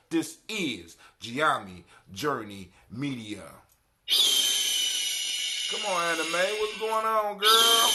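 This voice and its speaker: A man's voice: fairly high pitch, very noisy. A middle-aged man hosting a live internet radio show in a casual, folksy, conversational way, repeating phrases for emphasis. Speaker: podcast host.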